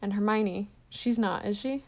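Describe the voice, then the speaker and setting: Storybook role: woman reading skeptical teen boy, blunt, offhand, gravelly. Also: medium pitch, normal speed, darkish tone, natural voice. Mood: dismayed.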